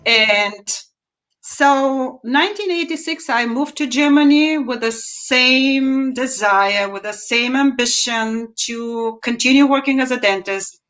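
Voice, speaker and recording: A woman in her fifties with a Bulgarian accent, speaking on a video call from a room at home as an interview guest and telling her story in a measured, deliberate way with emphatic rises. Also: high voice, fairly bright tone. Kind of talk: interview answers, personal story.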